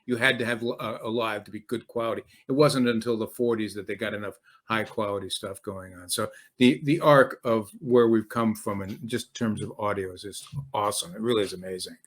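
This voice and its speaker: A man hosting a casual interview, talking in a halting, rambling way. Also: fairly low pitch, steady tone. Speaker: interview host.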